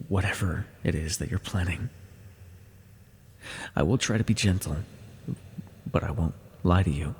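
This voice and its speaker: An ancient immortal villain, acted by a man, brooding and tormented yet tender. His lowish voice creaks heavily and moves very slowly, with dramatic halts, as in an intimate fantasy audio roleplay. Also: a little hiss or hum. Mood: reluctant menace. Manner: slow, halting, dramatic delivery with sighs and pauses.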